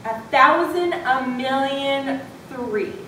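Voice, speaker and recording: A woman playing a stubborn, literal-minded schoolboy in an amateur readers' theatre performance, pleading and protesting. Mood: earnest counting. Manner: protesting, counts aloud slowly. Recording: distant, very echoey room, noisy with rumble.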